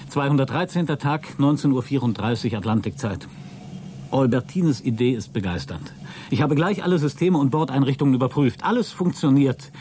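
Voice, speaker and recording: Actor as male astronaut, radio drama. Sceptical, dry, sardonic, medium pitch, faint noise behind. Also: mellow, lightly acted. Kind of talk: German radio play acting.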